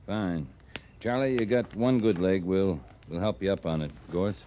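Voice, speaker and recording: An actor playing a calm, commanding frontier cavalry captain, terse and dry, in an old-time radio western. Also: male voice, sits low, normal speed, natural voice. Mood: firm, calm. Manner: terse, dry, matter-of-fact. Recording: hissy old radio drama recording.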